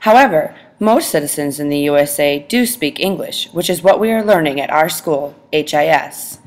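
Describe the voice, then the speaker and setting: A woman's voice: deep voice, expressive, moderate pace. A woman reading a school presentation aloud over a video call, stressing each food word. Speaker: student presenter.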